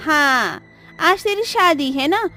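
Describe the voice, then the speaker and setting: A woman voicing a pushy, gossiping village woman in a horror cartoon, brisk and insistent. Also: sits high, expressive, bright, forceful tone, faint music behind, full character voice. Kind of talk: Hindi horror cartoon acting.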